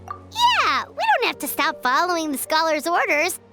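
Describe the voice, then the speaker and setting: A tiny, spirited video game sidekick, extremely high and squeaky. The delivery is plucky and blunt, bouncing up and down in pitch over clear music. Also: female voice, wildly swooping pitch, normal speed, sharp tone, heavily acted. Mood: upbeat, helpful. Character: tiny, spirited, cheeky childlike companion creature. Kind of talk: video game character acting. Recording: music behind the voice.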